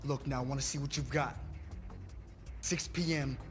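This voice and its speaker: A man's voice: medium pitch, steady tone, normal speed, soft background music, close to an everyday voice. An actor playing a brash, challenging young male street racer in a video game, eager to prove himself. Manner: challenging, slangy. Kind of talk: video game cutscene acting.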